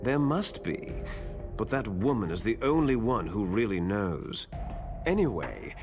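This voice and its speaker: An actor playing a bitter, taunting villain, the hero's twin soldier brother, in a theatrical, smug video game cutscene style. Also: male voice, lowish voice, big pitch swings. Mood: coolly explaining. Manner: theatrical, smug, drawn-out menacing delivery. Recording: loud game music behind the voice.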